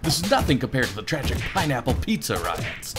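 A man's voice: mid-range voice, sing-song, fairly quick, loud music behind the voice, close to an everyday voice. A man voicing a blasé male pilot in game dialogue, matter-of-fact and unfazed. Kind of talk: game dialogue read aloud.

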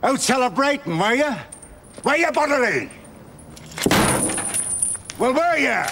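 Gravelly, grieving older Dublin father. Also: very high voice, sing-song, very noisy, heavily acted. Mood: angry, confronting. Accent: Dublin Irish. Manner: intense, halting, accusing. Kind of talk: television drama acting.

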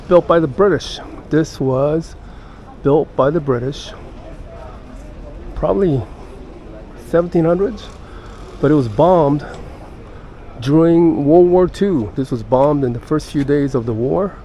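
Slow-paced older man, mellow voice. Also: fairly high pitch. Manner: halting, pausing mid-sentence. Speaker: travel vlogger. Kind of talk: casual walking-tour narration. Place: outdoors in busy city streets and squares. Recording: outdoor city street noise.

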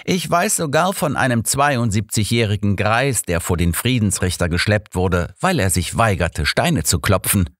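A man acts out an old, sharp-minded detective in a storybook reading. He is sardonic and pointed, lecturing with animated intonation. The sound is close and dry. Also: lowish voice, normal speed, hardly any pauses, dry recording, voice pushed up. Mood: grave, lecturing. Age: older. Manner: pointed, lecturing, dryly persuasive.

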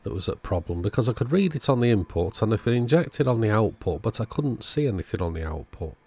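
A man with a deep, gravelly voice talks through a repair at his home workbench. He thinks aloud in a calm, methodical way, and his tone has a slightly husky edge. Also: quick pace. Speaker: electronics repair video host. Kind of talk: casual narrated repair walkthrough.

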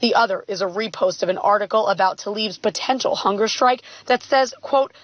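A woman's voice: mid-range voice, moderate pace, dry recording. A woman reading a scripted television news report, in dull archive audio.

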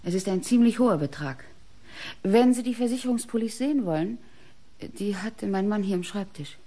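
An actress playing an artist's proud, bitter wife, indignant and defensive, in a radio crime play. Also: female voice, mid-range voice, lively intonation, moderate pace, warm tone, a little hiss or hum, natural voice. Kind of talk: German radio play acting.

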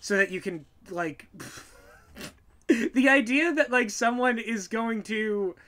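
A man's voice: very high voice, slow pace, pushed and bright. A man co-hosting a casual reaction commentary in an exasperated, sarcastic and sweary way. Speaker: co-host, writer.

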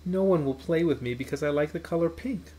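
A man voicing a sensitive, earnest little girl from a storybook, expressive in delivery. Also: a little high, a little fast, pitched above the performer's own voice, natural voice. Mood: upset. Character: sensitive little girl who loves pink.

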